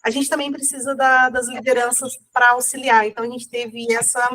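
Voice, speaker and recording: Woman with a cold, high voice, rambly casual interview talk. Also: little pitch movement, brisk, fairly bright tone, slight background noise. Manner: rambling, many fillers and self-corrections. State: has a cold.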